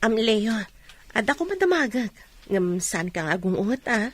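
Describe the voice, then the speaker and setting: An actress playing a nosy, teasing young married friend in an Ilocano radio drama. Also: female voice, medium pitch, sing-song, moderate pace, dry recording. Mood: teasing, coy.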